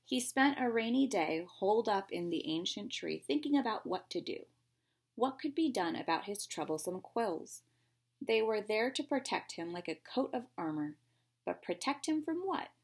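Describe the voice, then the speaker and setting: A woman's voice: medium pitch, big pitch swings, normal speed, slightly rough. A woman reading a picture book aloud to young children like a teacher, expressively, with simple explanations and questions along the way.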